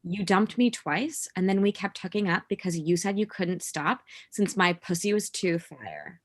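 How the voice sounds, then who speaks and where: Actress as sardonic, lively-pitched thirty-year-old woman. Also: normal speed. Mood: scornful. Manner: dry, cutting. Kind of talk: naturalistic comedy table read.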